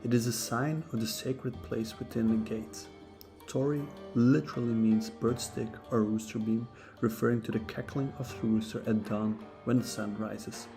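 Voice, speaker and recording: A man, not a native English speaker, reading a scripted philosophy lesson in a steady, measured way. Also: mid-range voice, gravelly. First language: neither English nor Japanese. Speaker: narrator of a philosophy channel. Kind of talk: scripted educational narration. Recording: faint guitar music behind.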